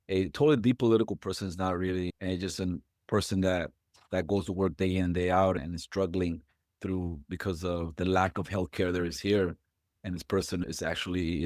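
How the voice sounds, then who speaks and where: A middle-aged man from Puerto Rico, a podcast host, talking in a halting way and repeating words as he thinks. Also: sits low, darkish tone, no room sound, clean studio sound. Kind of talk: conversational interview.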